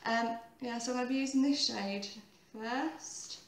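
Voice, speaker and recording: Chatty woman, croaky voice. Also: fairly high pitch, unhurried, reverberant. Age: 30s. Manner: chatty, rambling, self-correcting. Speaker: makeup tutorial host. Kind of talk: casual makeup tutorial.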